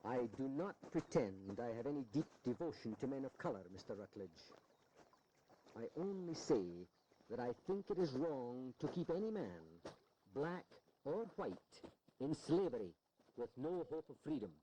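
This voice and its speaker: Principled, sardonic Northern delegate played by a man, historical audio drama, widely swinging pitch, slight noise behind. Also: big pitch swings, normal speed, warm tone, lightly acted, low-quality recording. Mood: grave. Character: principled, indignant Northern statesman, male.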